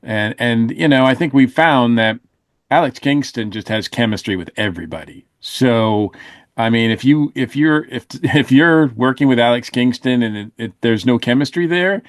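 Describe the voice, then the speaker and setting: Halting man reviewing on a podcast. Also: medium pitch, lively intonation, dry recording, studio quality. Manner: halting, hedging, thoughtful. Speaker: podcast panelist. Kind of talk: casual review discussion.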